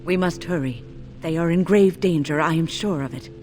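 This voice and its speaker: A man's voice: fairly high pitch, moderate pace, a little mellow, lightly acted. A man playing an earnest companion on a fantasy video game quest, grave and pressing in manner. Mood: grave urgency. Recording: music under the voice.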